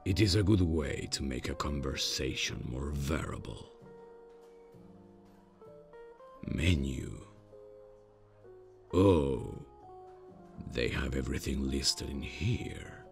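A man playing a stiff, awkwardly polite demon from hell in an ASMR comedy roleplay, speaking formally and haltingly in a deadpan way. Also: soft background music, exaggerated voice. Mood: puzzled, curious. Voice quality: very deep, gravelly. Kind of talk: ASMR roleplay, scripted comic monologue.

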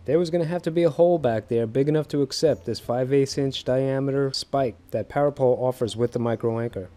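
A man in his thirties casually narrating a how-to project on a docked boat, easygoing and quick to laugh at himself. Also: medium pitch, dark tone, faint music behind. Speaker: fishing video host.

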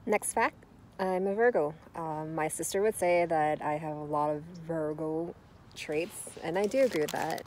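A woman's voice: low-pitched, normal speed, darkish tone. A woman in her thirties chatting casually in a vlog, filmed on a phone held at arm's length outdoors and in a car. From United States. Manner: chatty, self-correcting, recorded at arm's length while walking and riding. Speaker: vlogger. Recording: noisy phone recording outdoors.

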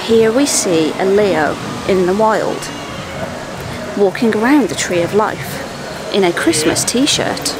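A woman's voice: mid-range voice, lively intonation, slow pace. A woman vlogging in a casual, enthusiastic way as she talks to her viewers while walking through a theme park. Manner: chatty and enthusiastic, talking to viewers. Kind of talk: casual travel vlog chat. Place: outdoors in a busy theme park. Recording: loud outdoor park noise.

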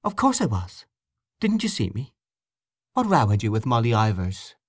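A man voicing an excitable, warm-hearted young wife speaking lightly to her husband. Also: mid-range voice, moderate pace, dry recording, clean studio sound. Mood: eager.

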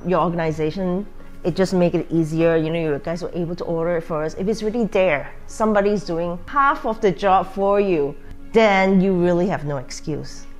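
A woman's voice: fairly low pitch, moderate pace, faint music behind. A woman from Malaysia who owns a tea restaurant, speaking earnestly in an interview in her dining room. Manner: conversational, earnest. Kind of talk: interview testimonial.

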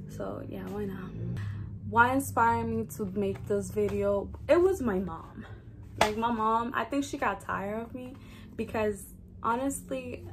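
A young woman vlogger from the Dominican Republic, with a Dominican accent and Spanish as her first language. She talks casually and chattily at a medium pitch, straight to camera. A faint layer of room noise sits behind her voice. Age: early 20s. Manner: chatty, informal, conversational asides. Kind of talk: casual get-to-know-me vlog talk to camera.

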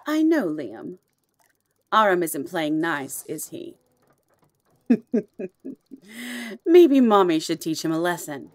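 Audio drama matriarch, female actor: low voice, cool authority, dangerous and fiercely protective of her own. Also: big pitch swings. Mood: mockingly playful. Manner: measured, cool, imperious. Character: commanding, protective supernatural mother, dangerous and impatient. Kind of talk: audio roleplay drama acting.

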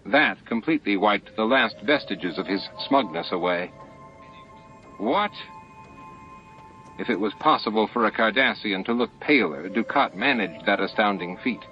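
Low-pitched man narrating an audiobook. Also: normal speed, hardly any pauses. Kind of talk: scripted novel narration. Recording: muffled lo-fi audiobook with music. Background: faint music.

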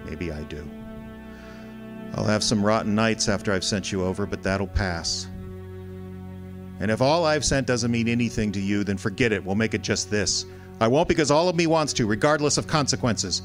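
An actor playing a stern, cynical private detective in an old-time radio drama, terse and deliberate. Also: male voice, lowish voice, expressive, over music, natural voice. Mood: torn but firm. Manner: terse, tough-talking, deliberate. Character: hard-boiled male private detective, stern, cynical, wounded but resolute.

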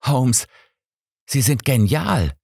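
A man's voice: mid-range voice, sing-song, drawn-out words, vocal fry, darkish tone, slightly rough, no echo, studio quality, voice pushed up, heavily acted. Man voicing an earnest, officious police inspector. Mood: elated.

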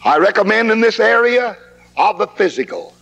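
Man, preacher, high voice, fervent camp meeting sermon, old 1960s tape, reverberant hall. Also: expressive, normal speed, creaky, fairly bright tone, dull audio. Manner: fervent, rising into emphatic preaching.

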